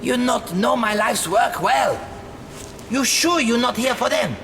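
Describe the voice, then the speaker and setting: A stoic Tibetan monk of a game cutscene, speaks in a fairly high, grave voice. His English is formal and broken, measured like a man of prayer readying for battle. Noticeable noise sits behind the words. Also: a bit bright, in a large hall, full character voice. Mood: grave, solemn. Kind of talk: dramatic video game cutscene acting.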